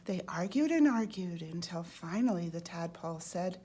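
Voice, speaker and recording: A woman reading a children's storybook aloud in an expressive way, as a storyteller. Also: fairly low pitch, moderate pace. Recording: clearly noisy background.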